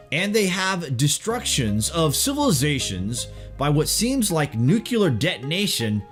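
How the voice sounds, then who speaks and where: A man's voice: medium pitch, fairly bright tone. A man delivers scripted documentary-style narration in a dramatic way, posing rhetorical questions straight to the listener.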